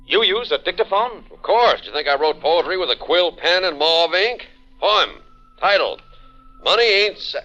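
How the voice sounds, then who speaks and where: An actor playing a pompous, indignant hack poet, declaiming in old radio drama style. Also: male voice, sits high, lively intonation, moderate pace, bright, forceful tone. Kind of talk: 1940s radio drama acting. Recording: muffled old radio recording with music.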